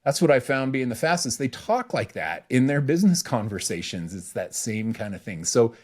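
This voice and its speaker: A man's voice: medium pitch, sing-song, moderate pace. Casting: male podcast host and coach, conversational but instructive, mid-range voice, leans on key phrases for emphasis. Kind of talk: casual instructive podcast talk.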